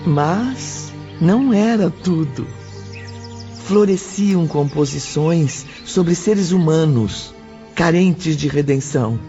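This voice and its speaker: A man's voice: fairly high pitch, lively intonation, slow pace, mellow. A man narrating an audio novel from a script in a solemn, poetic manner. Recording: dull muffled audio under music.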